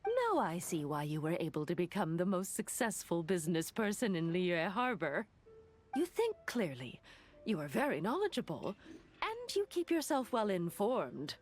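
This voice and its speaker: Eager, lively, chatty merchant. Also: female voice, on the low side, normal speed. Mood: grateful, admiring. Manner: animated, polite, teasing. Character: eager, chatty, inquisitive female merchant, admiring and gossipy. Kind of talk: video game voice acting.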